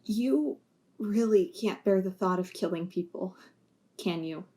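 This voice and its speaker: A woman's voice: mid-range voice, a little slow, dark tone, lightly acted. A woman playing a former police officer, Riley, weighed down by guilt, with a wry, sarcastic way of talking. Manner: wry, sarcastic, turning impassioned. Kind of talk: amateur audio drama acting.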